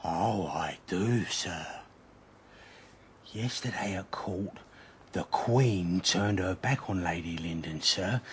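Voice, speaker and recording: An actor playing a deferential, gossiping manservant with an obsequious manner in a period radio drama. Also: male voice, sits low, slow, a little hiss or hum. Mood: gleeful deference.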